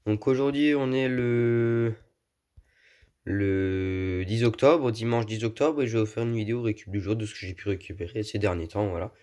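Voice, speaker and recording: A man with a hoarse voice from a cold, casually showing off old lighting gear he has collected, filling his talk with many small fillers. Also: on the low side, normal speed, warm tone. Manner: rambling, many fillers like voilà and euh. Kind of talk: casual show-and-tell vlog.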